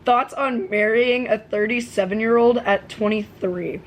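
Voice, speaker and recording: A woman's voice: fairly high pitch, fairly even intonation, measured pace, a little hiss or hum, low-quality recording. Blunt joking young woman. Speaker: co-host. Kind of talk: casual chat.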